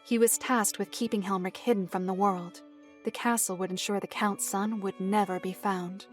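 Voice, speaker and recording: A woman's voice: mid-range voice, fairly even intonation, natural voice. A reserved woman storyteller from a fantasy audio drama, played by an actress. She chooses her words with formal precision and keeps a composed, dryly stern tone. Music plays behind her voice. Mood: solemn storytelling. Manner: measured, formal, precise phrasing. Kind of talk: fantasy visual novel audio drama acting.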